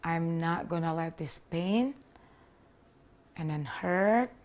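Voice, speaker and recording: A woman's voice: on the low side, slow pace, a little mellow. A woman in her thirties from Indonesia with an Indonesian accent, earnestly telling her personal story with small grammar slips, seated in a living room on a lapel mic. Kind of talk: personal testimony to camera. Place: living room with leather sofa, indoors.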